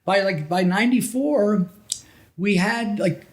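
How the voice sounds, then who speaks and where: A middle-aged American man reminiscing casually as a podcast guest, telling his stories in a halting, conversational way. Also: sits high, a little mellow. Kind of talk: casual reminiscing interview talk.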